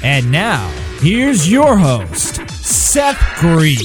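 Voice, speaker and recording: A man's voice: a little high, huge pitch swings, very slow. A man reading a scripted podcast intro in an upbeat announcer style. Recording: clear music bed behind.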